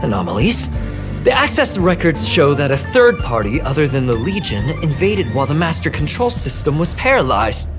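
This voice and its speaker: A man's voice: a little high, moderate pace. An actor playing a nervous, self-deprecating male engineer in a sci-fi video game, earnest and hesitant. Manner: hesitant, stammering, earnest and excitable. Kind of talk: expressive video game dialogue acting. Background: background music.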